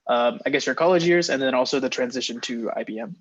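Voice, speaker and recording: Fast-talking husky male host. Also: medium pitch, highs cut off. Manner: brisk and upbeat, many fillers. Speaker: host interviewer. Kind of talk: casual interview hosting.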